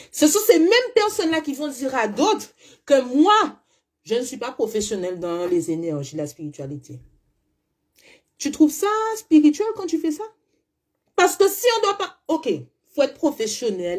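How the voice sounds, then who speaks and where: A woman's voice: fairly high pitch, darkish tone, slightly rough. A woman from the French Caribbean, a spiritual coach speaking bluntly and emphatically to her followers, firing off rhetorical questions. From French Caribbean (Guadeloupe, Martinique). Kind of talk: monologue to followers.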